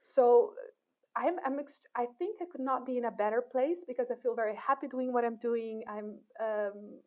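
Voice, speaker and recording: A middle-aged woman from Brazil with Portuguese as her first language talks in an animated, chatty way, breaking into laughter and restarting her thoughts. Her voice sits a little high. The sound is thin and tinny, as over a phone line. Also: normal speed. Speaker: guest, assistant professor. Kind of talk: casual interview chat.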